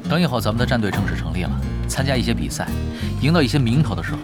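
A man's voice: mid-range voice, sing-song, very fast, natural voice. Actor as easygoing, teasing gamer guy, swooping intonation. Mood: relaxed, matter-of-fact. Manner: nonchalant, teasing, smoothly persuasive. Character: easygoing, shrewd, laid-back male gamer recruiting helpers for his guild. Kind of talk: audio drama acting. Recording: studio drama with loud background music.

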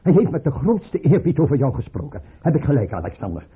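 On a thin, phone-like old radio recording, a suspicious monarch in a historical drama. His very rough, husky voice swings widely between muttering and shrill orders, imperious and pleading by turns. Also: big pitch swings, quick pace, exaggerated voice. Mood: suspicious, testing. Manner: erratic, swinging from muttered questions to shrill commands. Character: volatile, suspicious Russian emperor, imperious yet pleading. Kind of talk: period radio play acting.

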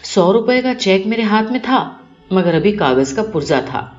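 A woman reading a short story aloud in an expressive, scripted narration style. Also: mid-range voice. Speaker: audiobook narrator. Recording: muffled, roomy recording with music behind. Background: music.

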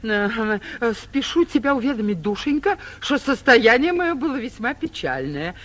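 An actress playing a vain, bossy, middle-aged mayor's wife, grand and flustered, in 1940s theatrical comedy style. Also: female voice, fairly high pitch, sing-song, normal speed. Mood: puzzled, reading aloud. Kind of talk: 1940s theatrical stage comedy acting for radio.

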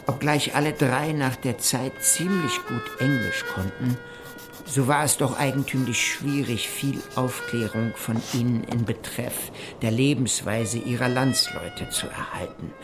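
A man giving measured, formal narration of a journal in a literary radio play. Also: medium pitch, over music. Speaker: narrator reading an explorer's journal.

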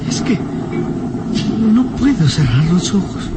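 A woman's voice: lowish voice, very animated, takes it slowly, heavy background noise. A woman playing a small, nervous, respectful boy sidekick in an old radio serial.

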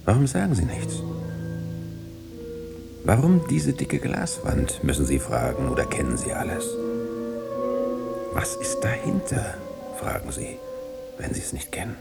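An actor playing a mysterious, insistent man in hiding who conjures visions in halting, suggestive phrases in a radio play. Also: mid-range voice, wildly swooping pitch, vocal fry, over loud music, heavily acted. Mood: hushed, eerie. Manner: halting, suggestive phrases, sometimes dropping to whispers.

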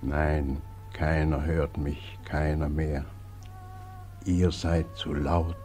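Very deep, mournful old God. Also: male voice, little pitch movement, drawn-out words, background noise, exaggerated voice. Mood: helpless lament. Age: older. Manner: plaintive, lamenting. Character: sorrowful, helpless, tender old man who is God. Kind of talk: 1940s German radio drama acting. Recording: 1940s radio recording with music behind.

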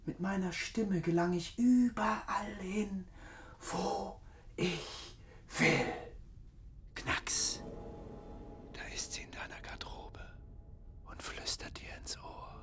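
An actor playing a menacing, lovesick masked madman in a radio drama, theatrical and swinging from whispers to high calls. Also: slow pace, long pauses, a bit bright. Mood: playful, eerie. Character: deranged masked phantom, theatrical, menacing, lovesick male ventriloquist.

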